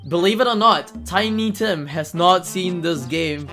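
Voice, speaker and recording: A man's voice: sits high, a little slow, pushed and bright. A man giving casual, hesitant live commentary as he speedruns a video game, often repeating words. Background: game music.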